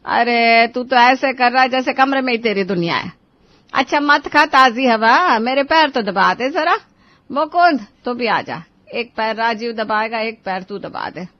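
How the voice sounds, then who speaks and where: A woman's voice: fairly high pitch, animated, unhurried, no echo, lo-fi sound. A woman voicing a teasing, nagging housewife and mother in a cartoon story, exclaiming and chiding. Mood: teasing, demanding.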